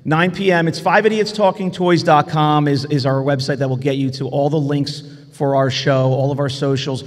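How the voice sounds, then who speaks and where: A hoarse, raspy American man hosting a casual panel chat. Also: fairly high pitch, fairly even intonation, normal speed. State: hoarse from days of talking. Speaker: podcast host. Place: large convention hall. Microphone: boom-arm podcast microphone. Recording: echoey hall.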